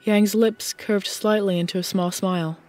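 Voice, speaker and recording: A woman's voice: mid-range voice, steady tone, moderate pace, darkish tone, faint music behind. A woman reading scripted fiction as the narrator of an audiobook.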